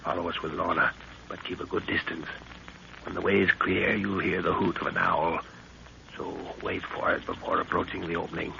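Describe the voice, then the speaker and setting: A man's voice: sits low, flat delivery, normal speed, vocal fry, sharp tone, full character voice. A middle-aged man playing a resolute father and jungle adventurer, clipped, urgent and commanding, in 1930s radio drama style. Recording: dull, hissy 1930s radio recording.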